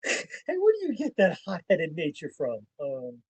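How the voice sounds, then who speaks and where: A co-host in a casual movie reaction chat who jokes and slips into quick silly voices for characters. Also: medium pitch, huge pitch swings, breathy edge, no echo.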